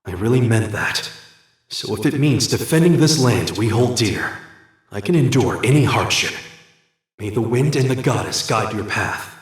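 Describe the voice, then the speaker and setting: A man voicing a calm, devoted young academy student warrior in a video game, speaking in a measured, sincere and formal way. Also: mid-range voice, breathy edge, no echo. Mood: solemn. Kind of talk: English video game voice acting.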